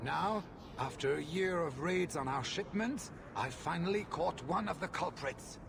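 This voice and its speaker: A man's voice: on the high side, measured pace, vocal fry, background noise. An actor voicing a haughty, hot-tempered, ruthless merchant in a video game, pompous and contemptuous in his formal phrasing. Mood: haughty, irritated. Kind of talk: video game cutscene acting.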